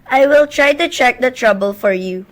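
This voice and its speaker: A woman acting in an amateur suspense radio play as a polite, unflappable telephone operator with a formal, measured manner. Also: sits high, expressive. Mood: patient, formal.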